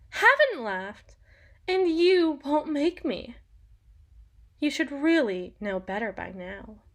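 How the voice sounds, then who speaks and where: A woman playing a haughty, sharp-tongued young empress in a dramatic audio roleplay, imperious and theatrical, with formal old-fashioned diction. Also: high-pitched, exaggerated voice. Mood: scornful.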